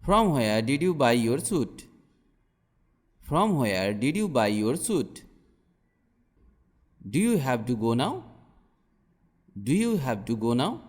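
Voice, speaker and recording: A man teaching English, reading short practice sentences slowly and carefully, saying each one twice. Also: mid-range voice, long reverb tail. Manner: slow, careful and clearly enunciated.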